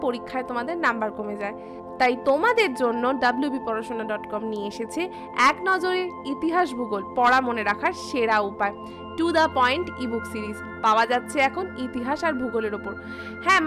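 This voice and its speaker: High-pitched West Bengali woman teaching over music. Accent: West Bengal Indian Bengali. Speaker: teacher, presenter of an educational channel. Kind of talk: scripted exam lesson and channel promotion, addressed to students.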